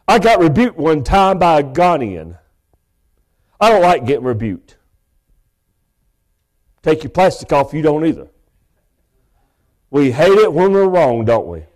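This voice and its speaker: A man, preaching a sermon from a church pulpit microphone in a big, loud voice with folksy asides. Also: a little high. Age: 50s. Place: church sanctuary.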